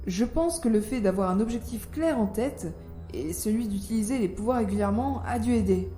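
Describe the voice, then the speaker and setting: A woman's voice: medium pitch, brisk, mellow, natural voice. A woman playing an ambitious, calculating scientist in an audio drama, articulate, self-assured and coolly persuasive. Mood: eager, self-assured. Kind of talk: scripted audio drama acting. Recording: roomy sound, faint music behind.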